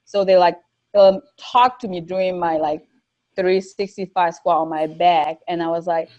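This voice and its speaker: Woman, slight Newfoundland accent, non-native English, soft-spoken, fillers, lo-fi sound. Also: fairly low pitch, moderate pace. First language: not English. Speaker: guest powerlifter. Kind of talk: casual interview chat. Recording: dull lo-fi sound.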